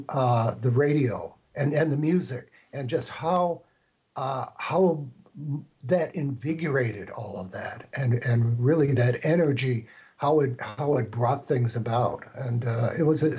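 A man's voice: medium pitch, a little mellow, slightly rough. An older man speaking as an interview guest, casual and reflective, with a hesitant, thoughtful way of talking. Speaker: interview guest, radio pioneer.

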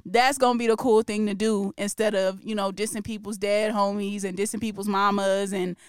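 Chatty woman podcast host, crisp and dry. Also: medium pitch, level tone, fairly quick, no echo. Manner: chatty, opinionated, laughs often. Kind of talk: casual pop-culture podcast chat.

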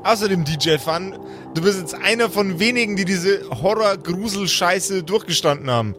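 A man's voice: high-pitched, expressive, normal speed, music in the background. A man playing in an improvised tabletop audio play, bantering in casual slang and egging the others on. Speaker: tabletop player.

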